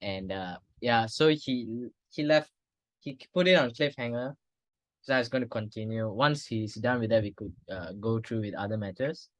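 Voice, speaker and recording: A man hosts a casual podcast chat in a soft, faltering way, restarting his sentences as he goes. His voice sits at a middling pitch. The sound is dry and close, with no room around it. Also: normal speed, no room sound. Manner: hesitant, restarts often, soft-spoken. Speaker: podcast host.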